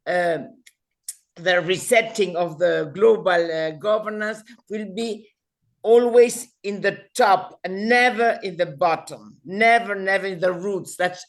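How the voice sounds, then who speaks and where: Earnest woman speaking halting English. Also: mid-range voice. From Uruguay. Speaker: civil society participant. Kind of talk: online discussion remarks. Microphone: video call.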